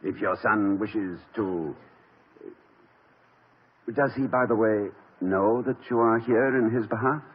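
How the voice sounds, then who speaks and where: An actor playing an erudite, dignified college president who speaks formally and with dry wit in a 1950s radio drama. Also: male voice, lowish voice, breathy edge. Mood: stern, measured. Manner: formal, measured, dryly witty. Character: erudite, dignified, wry college president, middle-aged man. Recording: dull lo-fi old radio broadcast.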